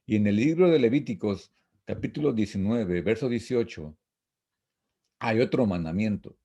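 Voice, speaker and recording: A man teaching an informal Bible lesson, reading and explaining verses in a repetitive, halting, didactic way. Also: fairly low pitch, expressive, dark tone. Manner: didactic, repetitive, halting with frequent false starts.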